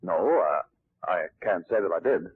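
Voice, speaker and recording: An actor playing a nervous, defensive suspect under questioning in a 1940s radio mystery, answering haltingly. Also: male voice, low voice, animated, slightly rough, exaggerated voice. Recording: muffled, crackly 1940s radio recording.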